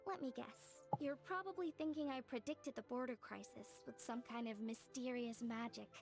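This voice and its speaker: A woman voicing a friendly young street-smart artisan in a video game, expressive and explanatory. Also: on the high side, moderate pace, vocal fry, faint music behind. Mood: upbeat, teasing. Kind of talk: video game dialogue acting.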